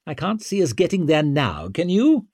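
A male narrator plays an exasperated king in an audiobook, his pitch lifted and lively. He sounds incredulous and sardonic, with a sharp tongue. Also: moderate pace, no echo, studio quality, heavily acted.

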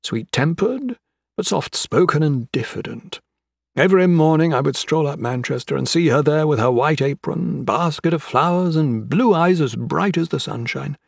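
A man voicing an older gentleman villain in an audiobook, with florid, mock-courteous, taunting speech. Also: fairly high pitch, animated, moderate pace, vocal fry, slightly rough, dry recording, studio quality, voice pushed up, heavily acted. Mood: wistful, sorrowful. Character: menacing, theatrical old gentleman crime boss, mocking and sentimental. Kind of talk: dramatic audiobook character acting.

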